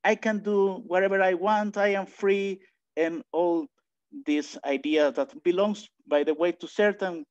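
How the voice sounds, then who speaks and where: A man from Mexico with a Mexican accent, giving a philosophy lecture online, thinking aloud with many restarts and lively asides. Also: high-pitched, a little slow, clear, resonant tone. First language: Spanish. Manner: thinking aloud, frequent restarts and filler sounds, animated asides. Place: home room, indoors. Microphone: video call webcam. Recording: dull lo-fi video call audio.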